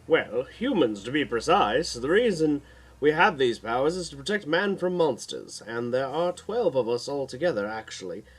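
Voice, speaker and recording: A man's voice: medium pitch, big pitch swings, moderate pace, breathy edge, rough recording. A man voicing an earnest, knowledgeable male guardian hero in an audiobook, explanatory with an urgent edge. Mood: explaining.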